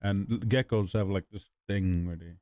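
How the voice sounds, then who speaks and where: A man's voice: sits low, fairly even intonation, moderate pace, darkish tone. A man giving blunt, profane, informal reaction commentary as a streamer.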